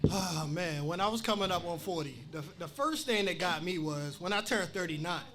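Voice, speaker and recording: A man's voice: sits high, brisk, noisy background. A man in his forties chatting on a podcast, telling a story in an easygoing way. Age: 40s. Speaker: podcast co-host. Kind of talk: casual chat.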